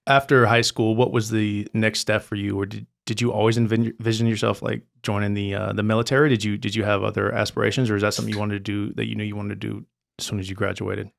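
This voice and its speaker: A man hosting a podcast, asking questions in a loose, relaxed way with lots of fillers. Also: on the low side, quick pace, gravelly. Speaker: podcast host. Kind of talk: casual interview.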